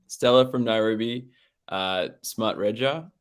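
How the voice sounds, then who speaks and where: An Australian man hosting an online founder session, relaxed and upbeat, with a lot of "um"s. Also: lowish voice, measured pace, clear, resonant tone. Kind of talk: casual live-stream chat.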